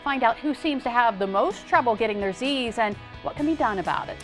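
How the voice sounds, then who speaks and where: A woman's voice: fairly high pitch, animated, fairly quick, soft background music. A middle-aged woman co-hosting a TV magazine show from a studio, reading teases and throws to reporters. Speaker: co-host. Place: TV studio set.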